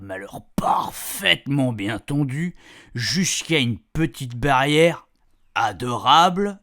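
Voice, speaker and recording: A man's voice: mid-range voice, creaky, a bit bright, no echo, clean studio sound, exaggerated voice. A man playing a chatty older husband who tells his camping memories in colloquial French-accented speech for a radio fiction, savouring words and grumbling in asides. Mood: dreamy, delighted. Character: chatty, grumbling, nostalgic married man, an amateur camper. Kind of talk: first-person storytelling in a radio fiction podcast.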